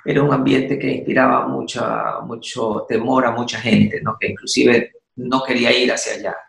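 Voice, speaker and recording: A man with a heavily gravelly, creaky voice tells his story slowly and thoughtfully. He hesitates often and restarts his sentences. The sound is roomy and echoing, like a remote call. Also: medium pitch, flat delivery, a bit bright. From Ecuador. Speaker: artist and university teacher. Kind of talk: interview guest, reflective storytelling.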